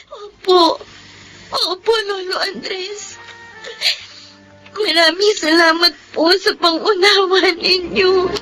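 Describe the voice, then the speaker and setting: An actress playing a meek, apologetic young student in a Filipino radio drama, crying and halting, with a Filipino accent. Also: female voice, very high voice, fairly even intonation, over music, rough recording. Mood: remorseful, tearful. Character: apologetic, tearful pregnant young student, respectful.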